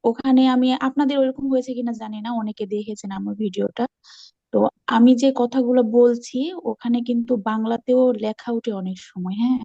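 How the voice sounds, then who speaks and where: High-voiced woman, eagerly explaining on a call. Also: steady tone, fairly quick, vocal fry, dark tone, no echo, dull audio. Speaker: class participant. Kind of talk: casual group call discussion, explaining from own experience. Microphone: online call.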